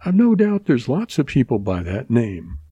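A man's voice: mid-range voice, huge pitch swings, normal speed, mellow, breathy edge, dry recording, muffled recording. A man voicing an earnest, tearful young ranch woman in a read western story. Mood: gentle. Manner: pleading, heartfelt.

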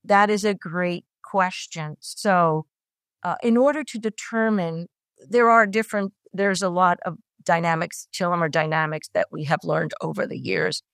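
Lower-voiced woman scientist. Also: moderate pace, a bit bright, no room sound, studio quality. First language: Italian. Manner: hesitant, many fillers and restarts. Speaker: scientist guest. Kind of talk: explanatory interview answers.

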